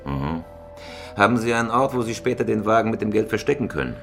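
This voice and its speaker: A man's voice: on the low side, animated, fast, creaky, over music. A man playing a cool, calculating career criminal in a crime radio drama, curt, commanding and matter-of-fact. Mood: businesslike.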